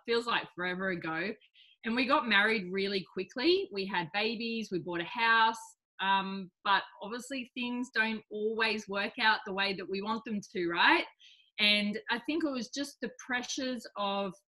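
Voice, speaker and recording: A woman in her forties talks through a laptop webcam from a small home office. Her voice is bright and forceful but a little croaky tonight, and she tells her story in an open, chatty, self-deprecating way. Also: mid-range voice, low-quality recording. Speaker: guest speaker. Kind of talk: casual personal story on a video call. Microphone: laptop webcam on a video call.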